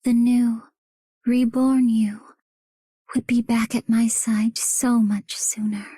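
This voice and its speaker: A doting, mystical game character full of longing. Her high voice is soft, dark and very breathy, with a slow, even delivery. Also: no room sound, studio quality, heavily acted. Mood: yearning, tender. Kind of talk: game character voice acting, anniversary message.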